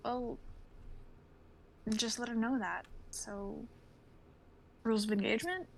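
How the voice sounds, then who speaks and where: A woman's voice: a little high, slow, creaky, a little hiss or hum, low-quality recording. A woman playing a blunt, hot-headed soldier in a dramatic reading of a sci-fi script. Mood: reproachful. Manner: blunt, no-nonsense.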